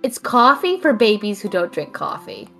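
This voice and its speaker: Woman as a wry, lively, teasing best friend. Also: medium pitch, moderate pace, vocal fry, faint music behind, heavily acted. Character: dry, teasing, homebody young woman, affectionately sarcastic best friend. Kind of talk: audio drama acting.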